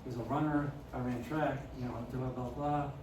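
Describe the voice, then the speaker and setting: A man's voice: mid-range voice, steady tone, normal speed. Middle-aged man, stutters and restarts a lot, personal talk; very echoey hall, mic set at a distance. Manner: stutters, many restarts and fillers. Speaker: speaker telling his story. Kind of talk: personal story talk to an audience. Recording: very echoey, far from the mic, noisy.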